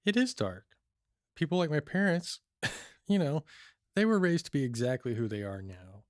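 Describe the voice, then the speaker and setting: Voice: medium pitch, sing-song, moderate pace, no room sound, close to an everyday voice. A performer playing a cynical, jaded computer hacker who lives off-grid, talking in a dry, blunt, casual way in an audio drama. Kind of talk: naturalistic audio drama dialogue.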